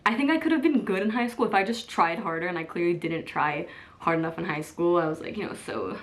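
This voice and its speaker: A woman in her twenties chats to camera about herself in a relaxed vlog. Her voice sits a little low, and she rambles warmly, filling gaps with "like" and breaking into small laughs. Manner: chatty, lots of "like" and "um", laughs easily. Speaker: vlogger. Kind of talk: casual get-to-know-me chat.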